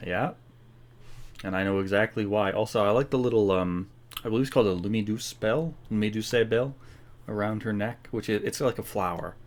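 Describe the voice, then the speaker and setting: A man streaming a video game playthrough. He talks casually and with plenty of slang, reacting out loud to what the characters say as he goes. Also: on the low side, a little fast.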